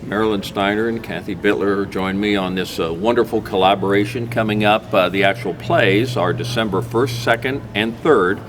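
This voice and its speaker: Low-pitched American man hosting, noisy recording. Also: steady tone, normal speed, few pauses. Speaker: talk show host. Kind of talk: casual interview. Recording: clearly noisy background.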